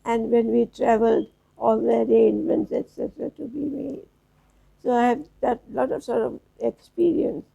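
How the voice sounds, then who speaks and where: A woman in her nineties from South Africa, reminiscing slowly as an interview guest, with halting restarts. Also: fairly high pitch, flat delivery, mellow, muffled recording. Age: 90s. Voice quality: gravelly, husky. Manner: slow, halting storytelling with restarts.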